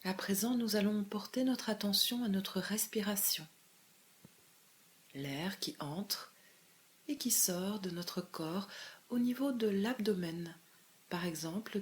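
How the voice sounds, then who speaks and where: A woman leading a guided meditation as a calm, measured guide, giving gentle step-by-step instructions with pauses. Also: mid-range voice. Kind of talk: guided body scan meditation.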